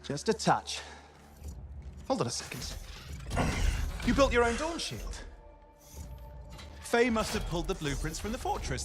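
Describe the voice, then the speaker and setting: A man playing a vain, flamboyant turncoat pilot in a video game, arch and smug with a wounded streak, over music. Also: high-pitched. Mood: smug, mocking. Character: vain, theatrical turncoat male pilot, preening yet wounded. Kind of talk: video game cutscene acting. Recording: music behind the voice.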